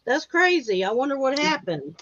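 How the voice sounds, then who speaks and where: A woman's voice: high-pitched, sing-song, normal speed, a bit bright. A woman hosting a casual livestream chat, folksy in the way she addresses her viewers. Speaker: livestream host. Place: indoors at a computer. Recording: muffled, dull livestream audio.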